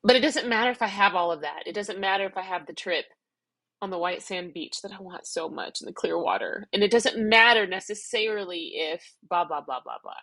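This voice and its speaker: A woman's voice: mid-range voice, brisk, a bit bright, dry recording. A middle-aged woman talking through her thoughts in a personal vlog, rambling and self-reflective while crying and congested. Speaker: vlogger. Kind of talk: emotional personal vlog, external processing.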